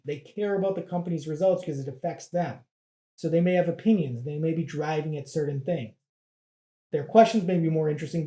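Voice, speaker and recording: Mellow, roomy-sounding explainer voice. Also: mid-range voice. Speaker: presenter of an investing guide. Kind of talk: explanatory educational narration.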